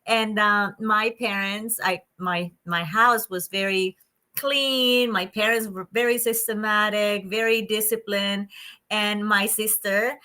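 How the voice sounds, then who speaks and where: A woman from Colombia with a Colombian Spanish accent, speaking as a psychologist guest in a conversational interview, animated and quick to laugh. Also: medium pitch. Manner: animated, laughs often, bright delivery.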